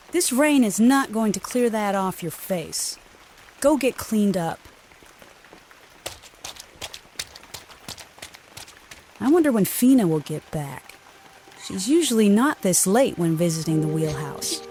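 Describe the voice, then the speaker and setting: Woman acting as concerned motherly villager, mid-pitched. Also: very animated, unhurried, a little mellow, a little hiss or hum. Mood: fussing. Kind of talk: audio drama acting.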